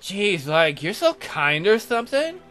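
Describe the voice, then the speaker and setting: A ditzy young maiden from a fantasy game, acted out by a man, pitched high and swooping about. She drawls like a valley girl, with music behind her. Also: very animated, a little slow, pushed and bright, exaggerated voice. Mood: sarcastic.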